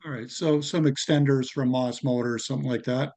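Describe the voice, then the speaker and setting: Mid-pitched man tentatively asking advice. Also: steady tone, brisk, darkish tone. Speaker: club member asking for advice. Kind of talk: casual questions on a group call. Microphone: conference call.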